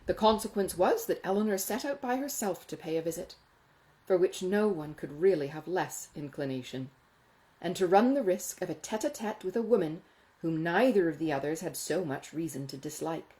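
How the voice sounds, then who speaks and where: A woman reading a classic novel aloud as an audiobook, in an expressive literary narrating style. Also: lowish voice, moderate pace. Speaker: audiobook reader.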